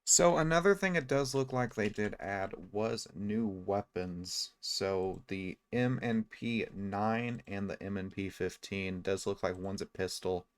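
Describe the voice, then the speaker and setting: A man giving casual, low-key, explanatory video game commentary. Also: unhurried, hardly any pauses.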